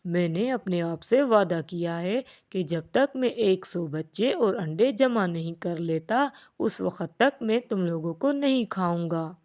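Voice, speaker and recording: A woman's voice: on the low side, lively intonation, unhurried, few pauses, darkish tone. A woman voicing a menacing, commanding male hawk in a children's cartoon. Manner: commanding, threatening. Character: menacing male hawk who hunts little birds.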